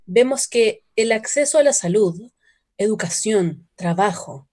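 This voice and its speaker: A woman's voice: mid-range voice, mellow. Earnest woman reading a report. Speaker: reader in a radio documentary.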